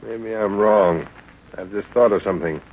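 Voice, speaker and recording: A man's voice: fairly low pitch, normal speed, natural voice. A man playing a seasoned, unflappable middle-aged explorer and father in an old-time radio adventure, hearty and commanding. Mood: musing, assured. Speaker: radio drama actor. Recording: muffled, noisy vintage radio recording.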